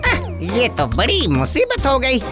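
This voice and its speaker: A woman's voice: on the high side, wildly swooping pitch, slow pace, over music, heavily acted. A woman voicing a lovesick young male cartoon ghost, eager and sulky, pleading and complaining dramatically. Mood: grumbling. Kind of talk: animated comedy cartoon acting.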